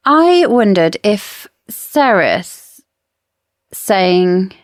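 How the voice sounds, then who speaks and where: A woman's voice: mid-range voice, gravelly, no echo, clean studio sound. A woman co-hosting a book discussion podcast, talking casually in an inquisitive, opinionated way. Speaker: podcast co-host.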